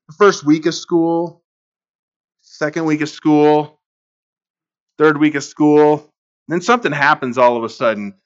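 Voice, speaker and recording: A man's voice: a little high, fairly bright tone, muffled recording. A middle-aged man preaching a sermon as a pastor, telling stories in a conversational way. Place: church sanctuary.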